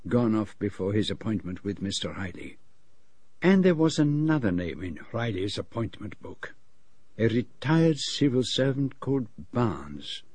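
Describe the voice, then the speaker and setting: An actor playing a courteous, shrewd detective with a Belgian French accent, formal and precise, in a radio drama. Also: male voice, fairly low pitch, a little mellow, husky, dry recording. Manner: formal, precise, politely sceptical. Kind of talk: radio drama acting with first-person narration.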